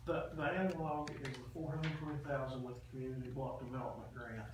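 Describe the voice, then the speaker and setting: A man explaining a report at a public meeting in a halting, matter-of-fact way. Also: mid-range voice, flat delivery. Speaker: official presenting a radio system report to a committee. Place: indoor public meeting room. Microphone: distant room microphone. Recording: echoey meeting room, distant mic, faint noise.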